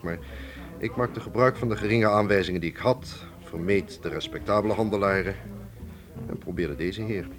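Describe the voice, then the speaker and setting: Radio drama: composed, calculating Russian museum man. Low register, measured formal delivery, music underneath. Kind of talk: Dutch radio play acting.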